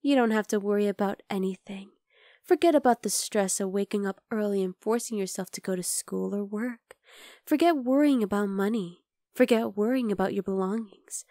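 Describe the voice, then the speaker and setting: A woman playing a possessive, bloodthirsty vampire girl in an ASMR roleplay, talking intimately to the listener and slipping into breathy whispers. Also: medium pitch, normal speed, warm tone, dry recording, clean studio sound, close to an everyday voice. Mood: coaxing, controlling.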